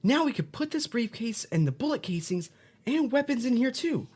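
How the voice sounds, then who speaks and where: A woman's voice: on the high side, very animated, normal speed, a little mellow, husky. A woman playing a cheerful, bossy children's-show host in an audio drama, giving instructions in an upbeat, reassuring way. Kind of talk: audio drama, parody of educational kids' show.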